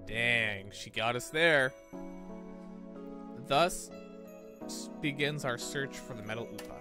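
A man's voice: mid-range voice, slow pace. A man streaming a game, reading visual novel narration and dialogue aloud in a dramatic way. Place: indoor room at a streaming setup. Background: game music.

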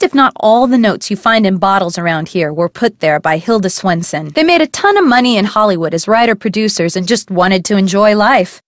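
Woman as a folksy gossipy cafe owner, game character, dry studio sound, mid-pitched voice. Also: no echo, close to an everyday voice. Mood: chatty. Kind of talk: video game voice acting.

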